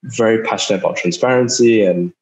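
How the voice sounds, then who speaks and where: A young man in his twenties from Singapore, a startup founder giving an online talk, speaking haltingly with many fillers and repeated words. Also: lowish voice, a little mellow. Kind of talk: online talk over a video call. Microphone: video call.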